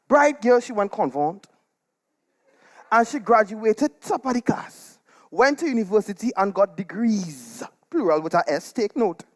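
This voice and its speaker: A woman's voice: mid-range voice, lightly acted. A woman playing a gossipy village woman in a theatrical comic stage monologue, with a Trinidadian accent and emphatic, melodramatic delivery. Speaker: dramatic monologue performer.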